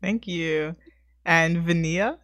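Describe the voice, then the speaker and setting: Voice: mid-range voice, takes it slowly, a bit bright, no echo. An informal podcast host with a mid-range voice chats with guests in a casual, slangy style. The sound is close and dry, with very little room around it. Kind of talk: casual interview.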